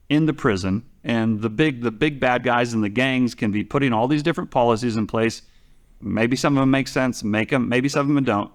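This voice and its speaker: Man, podcast commentator, medium pitch, conversational explainer, rambling, repeats phrases for emphasis.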